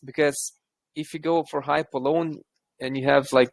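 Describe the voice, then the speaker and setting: Lithuanian-accented man, gravelly, dry close mic, casual and thoughtful. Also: on the high side, fairly even intonation, normal speed, dry recording. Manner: thoughtful, restarts often. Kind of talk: casual chat.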